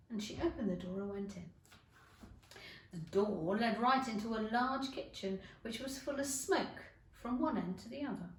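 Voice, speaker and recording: Animated, unhurried woman reading a story. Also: a little high. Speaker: teacher reading to schoolchildren. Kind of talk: expressive storybook narration. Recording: roomy echo, distant mic.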